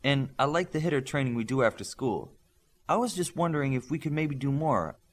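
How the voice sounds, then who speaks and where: A man's voice: medium pitch, brisk, voice pushed up, natural voice. A man reading an audiobook as an eager, earnest young male superhero trainee who tends to plead. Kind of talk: audiobook character dialogue.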